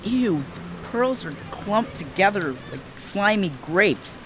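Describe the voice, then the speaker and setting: A woman's voice: mid-range voice, lively intonation, takes it slowly, a bit bright, a little hiss or hum. An actress playing a wary, squeamish schoolgirl in a children's horror audio drama, anxious and exclamatory. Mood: disgusted, worried.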